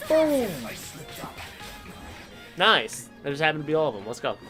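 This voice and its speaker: A man's voice: fairly high pitch, huge pitch swings, unhurried, over music. A young man streaming a video game live, commenting in a wry, offhand, joking way.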